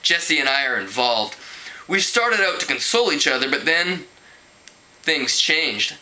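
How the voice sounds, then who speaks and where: A man voicing a cocky, scheming teenage high school boy in an earnest, put-upon way while reading a story aloud. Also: lively intonation, unhurried, bright, forceful tone, heavily acted, rough recording.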